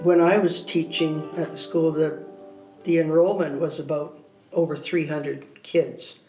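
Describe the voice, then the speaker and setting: A man in his eighties, a retired teacher, reminiscing in a measured way in a documentary interview. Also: fairly high pitch, level tone, dark tone, lo-fi sound. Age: 80s. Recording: roomy room sound, faint background music.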